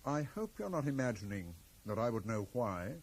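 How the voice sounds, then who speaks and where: An actor playing a middle-aged, very correct British professor in a radio drama, guarded, brusque and easily affronted. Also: male voice, on the low side, very animated, moderate pace. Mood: irritated, indignant. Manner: stiff, formal, brusque. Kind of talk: 1980s radio drama acting. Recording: faintly noisy 1980s radio broadcast.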